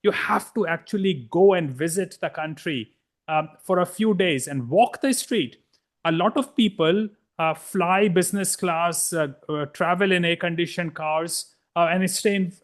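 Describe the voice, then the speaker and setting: A middle-aged man from India, a guest in a conversational interview, talking bluntly and emphatically with frequent restarts. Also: high voice.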